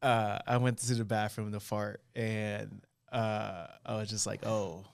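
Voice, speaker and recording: A man's voice: lowish voice, flat delivery, a little slow, vocal fry, clear, resonant tone, no echo, clean studio sound. A man co-hosting a podcast in casual comedic chat, joking and laughing often. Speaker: podcast co-host.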